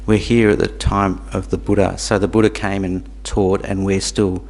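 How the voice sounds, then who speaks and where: A man born in Tanzania, teaching Buddhism to a small group in a halting, repetitive way, with questions for the audience. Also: sits low, little pitch movement, darkish tone. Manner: halting, repeats words, asks the audience questions. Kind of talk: dharma talk to a small group.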